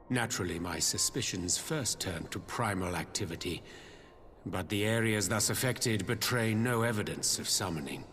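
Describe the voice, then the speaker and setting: Deep, solemn archaic scholar, reverberant. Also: male voice. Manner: formal archaic diction, pensive. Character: grave archaic-speaking male scholar, courtly and measured. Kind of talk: video game voice acting. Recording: long cavernous reverb, music underneath.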